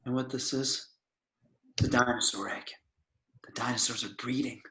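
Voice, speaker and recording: A man playing a patient scientist who explains things in a halting, understated way, with a creaky voice, in an amateur screenplay table read. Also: medium pitch, steady tone, fairly bright tone, echoey room, a little hiss or hum, natural voice, poor audio. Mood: explaining. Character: male scientist patiently explaining, quietly amazed.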